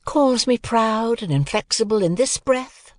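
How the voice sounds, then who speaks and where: A woman's voice: a little high, lively intonation, a little slow, no echo, pitched above the performer's own voice. A woman voicing a proud, elegant young society beauty in an audiobook, with cool, careless disdain. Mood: dismissive, proud. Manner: detached, careless, teasing disdain.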